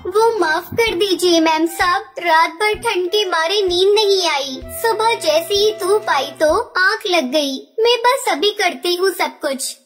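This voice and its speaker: Very high, pleading mother bird. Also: slow pace, hardly any pauses, a bit bright, faint music behind, exaggerated voice. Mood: apologetic. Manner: pleading, deferential. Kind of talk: Hindi animated moral story dialogue.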